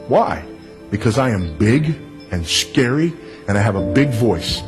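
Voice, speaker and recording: Firm, didactic man preaching. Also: medium pitch. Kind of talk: sermon.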